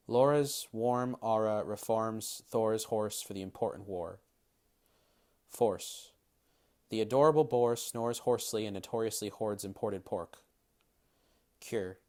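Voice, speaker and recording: Man, 30s, Massachusetts-raised, near general American accent with faint British touches; low voice, careful, measured delivery. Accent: Massachusetts, largely general American with slight British traces. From Massachusetts, USA. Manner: careful, crisp reading, then relaxed with hedges and fillers. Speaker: dialect coach, interviewee. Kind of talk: reading word lists, then casual interview answers.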